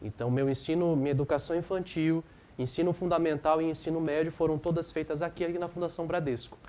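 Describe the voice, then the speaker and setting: A man's voice: a little high, fairly even intonation, fast, mellow, a little hiss or hum. A young man in his twenties, a parish priest chatting as a guest, laughing easily and repeating his phrases. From Brazil. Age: 20s. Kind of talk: casual interview chat.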